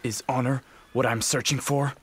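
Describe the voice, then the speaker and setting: A man playing an earnest, brooding young samurai in a video game, speaking gravely and introspectively. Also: medium pitch, little pitch movement, normal speed, fairly bright tone, a little hiss or hum, close to an everyday voice. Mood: solemn, reflective. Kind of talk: solemn video game voice acting. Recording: game cutscene with clear music behind.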